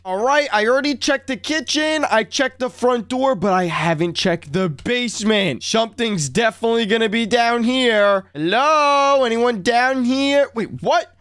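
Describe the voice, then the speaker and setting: A man acting as an excitable, easily frightened young boy in a comedy skit, with whiny, dramatic delivery. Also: extremely high pitch, a little slow, few pauses, smooth, no room sound, studio quality, full character voice. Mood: nervous, curious. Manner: breathless, whiny, dramatic exclamations.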